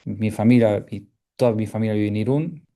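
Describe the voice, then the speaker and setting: A man's voice: lowish voice, moderate pace, mellow. A man from Canada, a sports journalist speaking as a seminar guest, hesitant with frequent fillers, restarts and tag questions. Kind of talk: conversational seminar talk.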